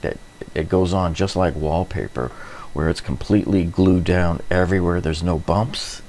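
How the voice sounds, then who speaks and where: A man's voice: low voice, fairly even intonation, moderate pace, a little mellow, a little hiss or hum. A middle-aged man narrating a hands-on renovation tutorial in an informal, explanatory way, often repeating himself. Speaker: DIY renovation host.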